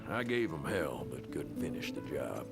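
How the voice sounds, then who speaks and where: An extremely low-voiced, gruff backwoods hunter with a Southern drawl. He talks in a folksy game-character way, with loud music behind him. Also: very animated, normal speed, heavily acted. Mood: weary, folksy. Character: gruff, folksy male country hunter, rough but appreciative. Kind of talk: video game cutscene voice acting.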